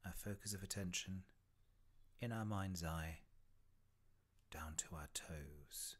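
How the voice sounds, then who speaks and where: Very deep-voiced man guiding a meditation. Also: breathy edge. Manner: gentle, unhurried, with long pauses between short phrases. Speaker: meditation guide. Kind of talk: guided body scan meditation.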